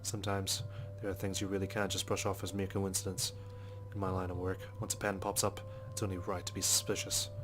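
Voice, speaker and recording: Male actor as dogged police detective, deep voice, matter-of-fact, visual novel game, background music. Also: monotone, quick pace, close to an everyday voice. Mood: brooding, resolute. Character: dogged, skeptical male police detective, inner narrator and speaker. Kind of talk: scripted visual novel narration and dialogue. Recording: music behind the voice.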